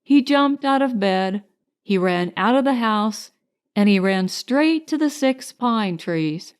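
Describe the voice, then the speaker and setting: A woman narrating a children's storybook in a measured, expressive storytelling manner. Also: mid-range voice, clean tone. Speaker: audiobook narrator.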